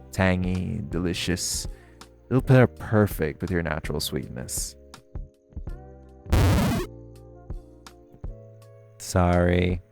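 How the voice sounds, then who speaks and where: Male actor as centuries-weathered male vampire, very low pitch, heavy vocal fry, cocky, teasing, flirtatious menace, intimate audio drama. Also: darkish tone. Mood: menacing, flirtatious. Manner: smug, taunting, drawling, playfully menacing.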